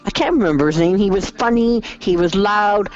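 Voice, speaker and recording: A woman contestant speaking slowly while searching for a name. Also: medium pitch, lo-fi sound, soft background music. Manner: slow, searching for words.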